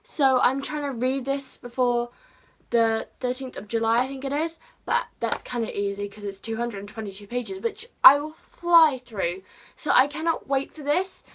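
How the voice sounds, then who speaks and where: Brief: English woman, book vlogger, bright high voice, rambly and self-mocking. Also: moderate pace. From England. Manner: chatty, rambling, self-deprecating, playful. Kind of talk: casual chat to camera.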